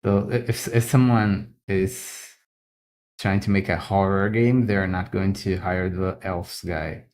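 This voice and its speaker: A Brazilian man speaks English with a Brazilian accent in a very low, deep voice. He talks slowly and casually while drawing, often stopping to repeat a word before he goes on. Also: steady tone, a little mellow. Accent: Brazilian Portuguese. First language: Brazilian Portuguese. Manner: halting, repeats words while thinking. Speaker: illustrator.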